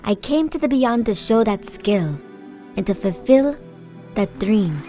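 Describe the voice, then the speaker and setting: A woman voicing an earnest, wistful young singer in an animated film. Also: mid-range voice, unhurried, soft background music, close to an everyday voice. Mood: earnest, confiding.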